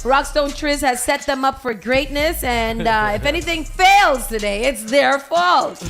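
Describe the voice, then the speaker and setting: A woman hosting a casual morning radio show, giving lively shout-outs with frequent laughs. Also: sits high, slow. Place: radio studio.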